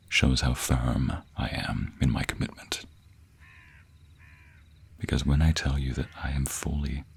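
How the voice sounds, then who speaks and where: A man playing a devoted, protective vampire boyfriend in a romantic audio roleplay, husky and breathy, speaking in ornate old-fashioned phrases close to a binaural mic. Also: a bit bright, heavily acted. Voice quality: low, husky, breathy, often dropping to a whisper. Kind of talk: romantic audio roleplay acting, addressing the listener intimately. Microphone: close binaural microphone.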